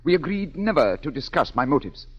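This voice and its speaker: An actor playing a desperate, humble aging violinist in a 1940s radio drama, pleading and emotional. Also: male voice, a little high, big pitch swings, fairly quick, slightly rough. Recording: dull vintage radio broadcast, music behind.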